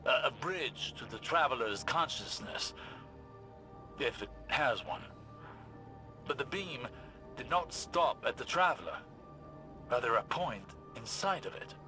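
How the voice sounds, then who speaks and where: A grave science fiction scholar, with a bright, focused voice at a middle pitch. He speaks in formal, deliberate phrases and weighs each idea with caution, as in dramatic game dialogue, with music underneath. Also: expressive. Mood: grave, pondering. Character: grave, learned male scholar in a sci-fi world, measured and cautious.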